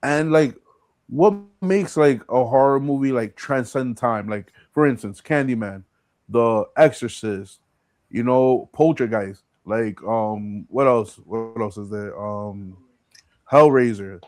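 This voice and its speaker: Casting: male podcast host, average pitch, informal conversational delivery, dry studio-like sound. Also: takes it slowly, darkish tone, no echo. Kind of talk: casual chat, asking questions.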